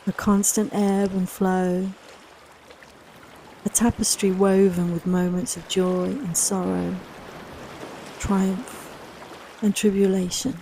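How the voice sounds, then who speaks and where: Soft, mellow woman guiding relaxation. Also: mid-range voice, level tone, a little hiss or hum. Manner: soft, soothing, unhurried reassurance with long pauses. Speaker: guided meditation and yoga nidra teacher. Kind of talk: slow guided relaxation meditation.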